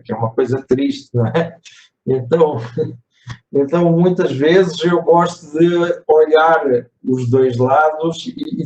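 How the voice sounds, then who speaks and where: A man from Portugal with a European Portuguese accent, moderating a webinar in a measured, reflective way, with hesitations and tag questions. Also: mid-range voice, a little mellow, muffled recording. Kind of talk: webinar discussion. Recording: echoey room, distant mic, faint noise.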